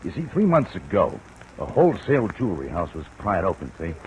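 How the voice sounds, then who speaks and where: Low-voiced, slangy small-time gumshoe. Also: male voice, fairly quick, low-quality recording. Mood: chatty, confiding. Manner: hesitant, folksy, slangy. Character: down-on-his-luck, colloquial male private detective. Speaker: radio drama actor. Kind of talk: old-time radio drama acting. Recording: old radio recording, steady hiss.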